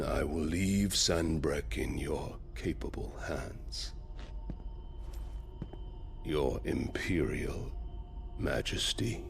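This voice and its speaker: Casting: imposing game villain lord, extremely low gravelly voice, smug, unhurried menace, music behind. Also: male voice, full character voice. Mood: cold bargaining. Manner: slow, deliberate, formal and taunting. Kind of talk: video game cutscene acting. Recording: loud music under the voice.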